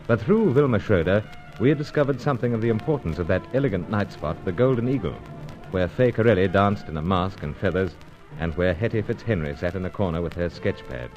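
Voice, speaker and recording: An actor playing an earnest secret agent hero, dutiful, clipped and dramatic, in an old-time radio serial style. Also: male voice, low voice, expressive, a little fast, darkish tone, lo-fi sound, faint music behind. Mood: tense narration.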